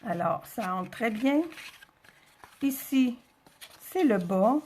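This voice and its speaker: A woman's voice: a little high, expressive, slow, darkish tone, a little hiss or hum. A woman with a Quebec French accent hosting a craft tutorial, talking informally step by step and thinking aloud as she goes. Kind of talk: casual step-by-step demonstration.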